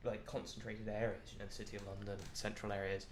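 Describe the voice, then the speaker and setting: Young male guest; talkative, rambling, laughs easily, low pitch. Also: level tone, fast talker, a little hiss or hum. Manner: chatty, laughs often, rambling. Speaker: podcast guest. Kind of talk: casual chat.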